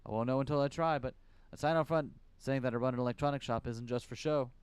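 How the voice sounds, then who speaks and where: A man's voice: medium pitch, quick pace, clean tone, no echo, close to an everyday voice. A man voicing a male electronics shop owner in a game he reads aloud, offhand and matter-of-fact.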